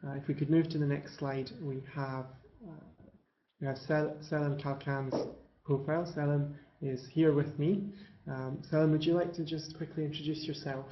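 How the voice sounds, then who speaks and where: A man walks through admissions slides in a steady, flat voice, pausing often on fillers. The sound is roomy and dull, with faint background hiss. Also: fairly high pitch. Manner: measured, halting with many fillers. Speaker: admissions presenter. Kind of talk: webinar presentation. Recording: echoey, dull lo-fi webinar audio.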